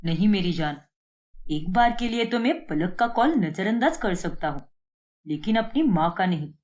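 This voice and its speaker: A woman voicing a smooth-talking young cheating husband in a cartoon story, in a placating manner. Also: mid-range voice, expressive, moderate pace, darkish tone, lightly acted. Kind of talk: Hindi cartoon moral-story voice acting.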